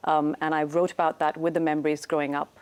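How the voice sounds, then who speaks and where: A woman's voice: sits low, little pitch movement, fast. A woman from Pakistan, a cookbook author, talking chattily about cooking as a guest on a TV show. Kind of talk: TV studio interview and cooking segment.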